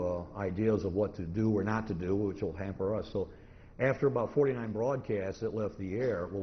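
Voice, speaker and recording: A middle-aged American man with a fairly low voice gives an informal talk, picking through his points haltingly and methodically. He tells it as anecdote. The recording is somewhat dull, with noise behind him. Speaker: presenter, old-time radio collector. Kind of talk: informal convention lecture. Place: convention presentation room. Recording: dull, noisy live room recording.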